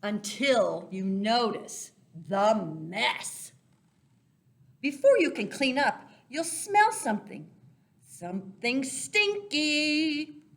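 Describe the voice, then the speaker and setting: A woman reading a picture book aloud to young children as a storyteller, expressive and deliberate, stressing key words and speaking directly to her listeners. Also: a little high, creaky. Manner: talks directly to young listeners, asking simple questions. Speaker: children's storyteller for a church Sunday school.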